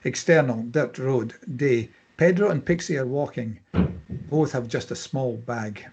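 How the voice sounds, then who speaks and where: A man's voice: medium pitch, measured pace, darkish tone, husky, lo-fi sound. A man narrating the stage directions at a screenplay table read, in a scripted narration style.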